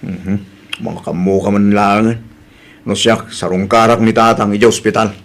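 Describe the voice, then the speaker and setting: A man's voice: on the low side, steady tone, moderate pace, close to an everyday voice. A man with an Ilocano accent playing a blunt, exasperated husband who chides his wife in a comedy drama. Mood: chiding.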